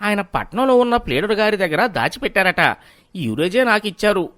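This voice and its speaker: A man's voice: sits high, big pitch swings, moderate pace, a bit bright, no echo, clean studio sound. A man playing an elder brother and henpecked husband in a moral story, talking in a placating way. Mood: falsely solemn.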